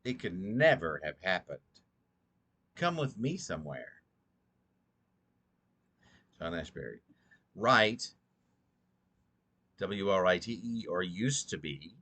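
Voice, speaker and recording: A man with a low voice reads poetry aloud as its author. He sounds sleepy and goes deliberately, often halting, stumbling over a word or repeating a phrase. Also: very animated, pushed and bright. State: drowsy, short on sleep. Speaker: poet reading own work. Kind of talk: slow, halting poetry reading.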